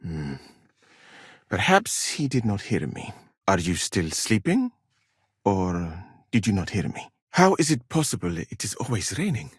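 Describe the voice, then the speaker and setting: Wry scholar, very animated. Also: male voice, fairly low pitch, a little slow, husky, dry recording, studio quality, full character voice. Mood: sardonic. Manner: formal careful phrasing, wry and theatrical. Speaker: video game character. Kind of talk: game voice lines, expressive acting.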